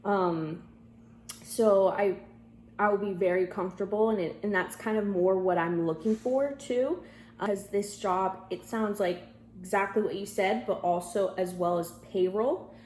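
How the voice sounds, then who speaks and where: A woman's voice: medium pitch, a little slow, darkish tone. A woman interviewing for a job by phone, talking informally and somewhat hesitantly as she rephrases her answers. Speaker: job candidate. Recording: echoey room, faint background noise.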